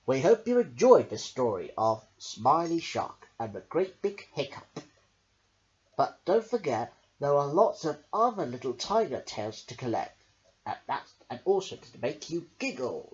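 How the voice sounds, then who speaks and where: A woman reads a picture book for children with theatrical emphasis. The voice is fairly low and swings widely in pitch, taking its time over the words. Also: big pitch swings, measured pace, a little mellow, highs cut off. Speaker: storyteller.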